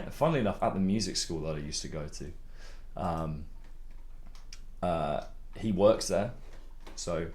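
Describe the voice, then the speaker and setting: A young man with a very low voice, chatting casually and swearing now and then as he mixes his own songs on a live stream. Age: young adult. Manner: rambling, laid-back, swears casually, laughs a lot. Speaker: songwriter streaming his music production. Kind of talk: casual live-stream chat while mixing. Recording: loud music playing under the voice.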